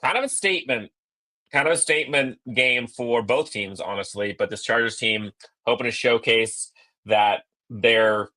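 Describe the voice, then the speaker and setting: A man in his thirties co-hosting a sports podcast from a hotel business center over a laptop call, a little hoarse, quick and joking. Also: mid-range voice, pushed and bright. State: slightly hoarse voice. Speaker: podcast co-host. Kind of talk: casual sports podcast chat. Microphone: laptop on video call.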